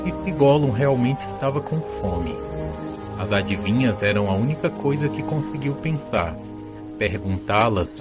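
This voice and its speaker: A man with a Brazilian accent narrating a scripted audio drama with dramatic, deliberate phrasing. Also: medium pitch. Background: music bed.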